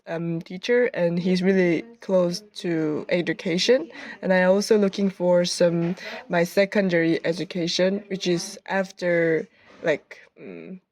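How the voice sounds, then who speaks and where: Slow, halting young woman. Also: fairly low pitch, darkish tone. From South Korea. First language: Korean. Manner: halting, searching for words with many fillers. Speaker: student. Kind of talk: reflective interview answer.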